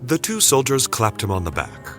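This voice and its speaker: A man narrating a scripted story dramatically, with suspenseful, deliberate phrasing. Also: mid-range voice, wildly swooping pitch, a bit bright, soft background music.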